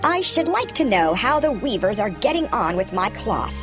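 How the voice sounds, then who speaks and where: A woman as a pompous, vain emperor, animated. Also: mid-range voice, moderate pace, lightly acted. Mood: curious. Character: vain emperor, male, self-important and scheming. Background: background music.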